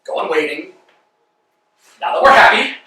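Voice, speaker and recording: An actor playing Vladimir, an eager, fussy male tramp, in a staged reading, swinging between hushed musing and excited outbursts. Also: sits high, normal speed, exaggerated voice. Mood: fussing. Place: indoor rehearsal room. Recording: echoey room from far off.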